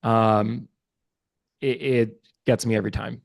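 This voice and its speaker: A man's voice: fairly low pitch, steady tone, takes it slowly, creaky, no echo. A man from Pakistan whose first language is Urdu, a podcast guest talking casually and earnestly, with many fillers and restarts. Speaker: guest, watch market research business developer. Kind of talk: casual interview chat.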